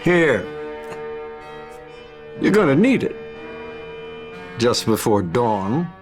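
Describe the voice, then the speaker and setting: Man playing menacing vampire, very slow, smug, lilting taunts, horror drama. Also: mid-range voice, very animated, music in the background. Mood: cold taunting. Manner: slow, deliberate. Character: cold, taunting male vampire, smugly menacing. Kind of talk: dramatic horror script reading.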